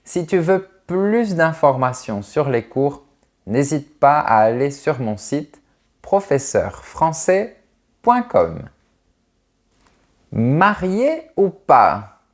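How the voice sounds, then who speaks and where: A man in his thirties from France, a French teacher answering viewers' questions in a clear, carefully articulated, friendly way. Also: fairly high pitch, big pitch swings, slow. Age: 30s. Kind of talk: slow, clear video Q&A for learners.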